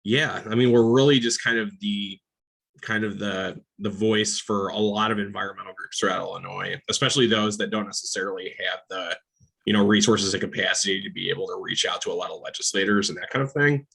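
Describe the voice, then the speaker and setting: A man with a low, gravelly, husky voice talks casually on a video call webinar. He speaks easily, with a bright edge to his tone and plenty of fillers. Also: a little fast, poor audio. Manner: relaxed, filler-heavy, laughs easily. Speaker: environmental council staffer. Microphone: computer mic on a video call.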